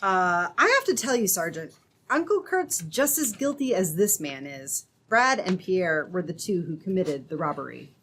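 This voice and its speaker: A woman's voice: lowish voice, very animated, moderate pace, fairly bright tone, slightly rough, heavily acted. A woman playing a plucky, earnest young captive in an old-time radio adventure, speaking emphatically.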